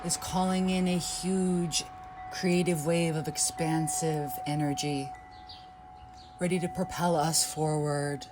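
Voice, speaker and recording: A woman leading a guided yoga nidra meditation in a soft, unhurried, inviting way. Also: sits low, flat delivery, over music.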